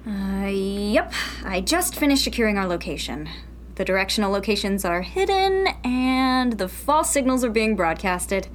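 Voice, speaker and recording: Woman acting as cocky young rebel tech girl, wry n casual, lively, sci-fi audio drama, bit of background noise. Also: mid-range voice, normal speed, close to an everyday voice. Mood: confident.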